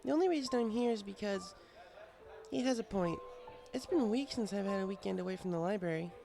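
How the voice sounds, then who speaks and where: A man's voice: sits high, lively intonation, brisk, gravelly, music in the background, pitched above the performer's own voice. A man voicing a bookish young female unicorn pony, prim, precise and socially awkward, in an audiobook. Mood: reluctant, sour. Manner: prim, precise, slightly superior. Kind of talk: audiobook character voice.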